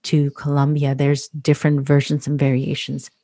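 Mellow-voiced chatty woman. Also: deep voice, monotone, moderate pace, dry recording. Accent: London English. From Curacao. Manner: chatty, laughs easily, repeats words. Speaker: podcast guest, food photographer. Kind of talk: casual conversation.

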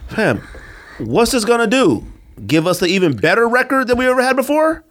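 Animated, slangy American man bantering. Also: extremely high pitch, fairly quick. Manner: slangy, emphatic, teasing, argumentative. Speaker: podcast co-host. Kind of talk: casual sneaker and sports banter.

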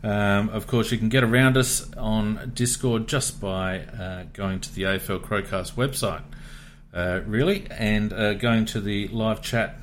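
An Australian man hosting a casual footy podcast, relaxed with many fillers. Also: on the low side, a little slow, slight background noise. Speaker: podcast host. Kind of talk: casual sports podcast chat.

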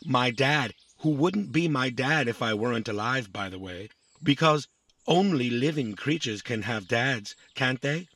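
An actor playing a naive, chatty, easily offended little robot in a children's audio drama, rambling excitably. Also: male voice, mid-range voice, a little slow. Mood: rambling, excited. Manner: rambling, excitable, adds tag questions.

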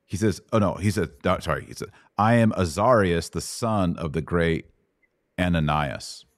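A middle-aged man with a low voice, recorded close and dry. He hosts a casual Bible Q&A podcast, explaining passages conversationally and voicing paraphrases in plain everyday speech. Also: moderate pace, no room sound. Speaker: podcast host answering Bible questions. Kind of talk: casual live Bible Q&A teaching.

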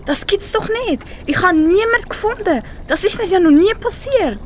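High-pitched teenage voice, Swiss German accent, girl acting as proud sulky fish, school radio play, noisy recording. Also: animated, moderate pace. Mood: determined, annoyed. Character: proud, sulky rainbow fish, sore loser. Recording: clear background noise.

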